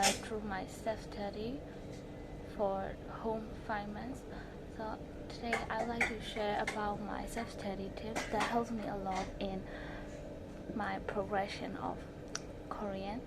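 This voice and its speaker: Halting teenage Burmese-accented girl, very noisy recording. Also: mid-range voice. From Myanmar. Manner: halting, short broken phrases. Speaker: language-learning vlogger. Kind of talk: self-study tips presentation to camera.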